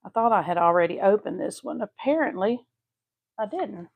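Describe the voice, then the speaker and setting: A woman's voice: medium pitch, animated, normal speed, gravelly, phone-quality sound. Texas lady crafting live, chatty and homey, talks to viewers while she paints. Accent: Southeast Texas. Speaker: craft channel host. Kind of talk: casual live-stream craft tutorial.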